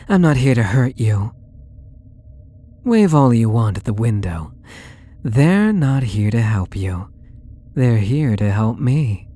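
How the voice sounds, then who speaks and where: A man's voice: mid-range voice, mellow. A young man playing a possessive, sweetly menacing male stalker in an ASMR roleplay audio drama, with a taunting, coaxing manner. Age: young adult. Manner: slow, taunting, coaxing delivery.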